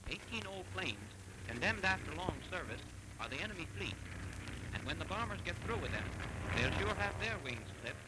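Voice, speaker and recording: A man giving lively, punchy 1930s newsreel commentary. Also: sits high, moderate pace. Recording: loud hiss of an old 1930s film soundtrack.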